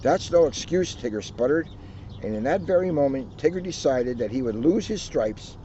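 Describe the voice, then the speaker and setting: A man reading a children's storybook aloud in an animated way, with casual asides. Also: mid-range voice, moderate pace. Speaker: police officer reading a children's book aloud. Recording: noisy, muffled recording.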